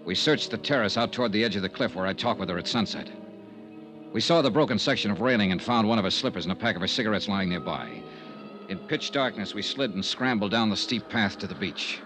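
A man's voice: on the low side, lively intonation, fast, close to an everyday voice, rough recording. An actor playing a tough, world-weary investigator narrating his case in a clipped, dramatic 1950s radio style. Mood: grave, somber. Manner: clipped, dramatic, wistful storytelling. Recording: 1950s radio recording with music behind.

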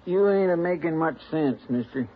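An actor playing a folksy, eager deputy sidekick in a 1950s radio drama, with a gravelly, drawling, hesitant way of talking. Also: male voice, on the high side, expressive, moderate pace, lightly acted. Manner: drawling, hesitant, rustic grammar. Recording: tinny old radio broadcast with hiss.

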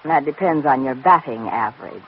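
Arch, swooping sardonic radio wife. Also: female voice, rumbling low, expressive, moderate pace. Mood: flirtatious. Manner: arch, teasing. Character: sultry, sardonic, flirtatious wife trading barbs. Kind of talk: 1940s-50s radio acting. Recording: dull, noisy old radio recording.

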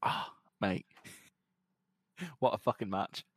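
A man's voice: on the low side, fairly even intonation, slow, a bit bright, dry recording, clean studio sound. A young Scottish man co-hosting a podcast, chatting casually while watching a match, swearing a lot and laughing often. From Scotland. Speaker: podcast co-host.

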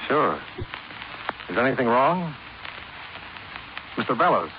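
A man's voice: medium pitch, very animated, slow. An American man playing a hard-boiled, inquisitive insurance investigator in 1950s radio drama style, clipped and matter-of-fact. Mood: concerned. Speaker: lead actor and narrator of a detective series. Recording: noisy, narrow-band 1950s radio recording.

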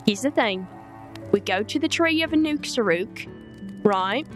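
A woman narrating and playing in a tabletop roleplay, talking haltingly with many fillers. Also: on the high side, lively intonation, soft background music. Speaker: game master.

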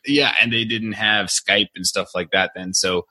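A man's voice: fairly low pitch, steady tone, moderate pace, pushed and bright, no echo. A man in his thirties who grew up in Ukraine, a company CEO telling his story in a casual podcast interview, chatty with many fillers and restarts.

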